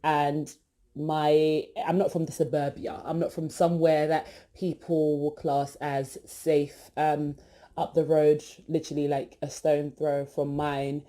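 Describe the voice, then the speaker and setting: A young British woman, hosting her podcast and introducing herself in a chatty, animated way with many fillers and asides. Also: sits low, measured pace. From Britain, born in East London, family from Ghana. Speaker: podcast host. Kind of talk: casual self-introduction.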